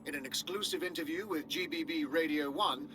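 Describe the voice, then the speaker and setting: An actor with a British accent playing a formal, composed newsreader delivering scripted bulletins in a video game. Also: male voice, on the high side, fairly quick, lightly acted. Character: formal, composed male British news reporter. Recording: music behind the voice.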